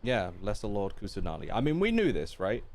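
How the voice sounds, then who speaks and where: A man's voice: fairly low pitch, wildly swooping pitch, a little fast, a little mellow. A man streaming a game, giving casual reaction commentary as he muses aloud skeptically.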